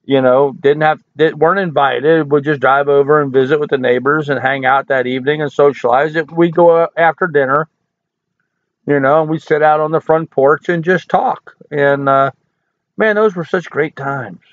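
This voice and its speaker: An older man hosting a casual live stream, hoarse from hours of talk, folksy and rambling as he answers chat comments. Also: a little high, steady tone, normal speed, dry recording. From United States. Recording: dry, tinny phone-like audio.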